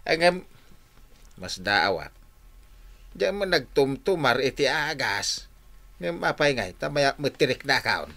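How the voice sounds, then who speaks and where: A man's voice: mid-range voice, very animated, takes it slowly, pauses often, pushed and bright, heavily acted. A man playing a good-humored, grumbling husband in an Ilocano radio drama, with an Ilocano accent and hesitant, exclamatory delivery. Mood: amused, hesitant.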